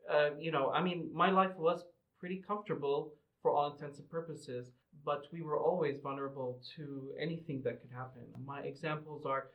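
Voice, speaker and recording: A middle-aged man from Lebanon and Qatar giving measured, explanatory answers as an interview guest. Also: a little high, fairly even intonation, muffled recording. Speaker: podcast guest, museum representative. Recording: roomy, echoing sound.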